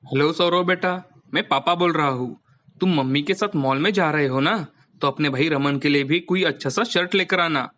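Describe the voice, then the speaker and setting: A man's voice: fairly high pitch, moderate pace, fairly bright tone, natural voice. An actor playing a mild, resigned husband and father in a moral story, earnest and patient.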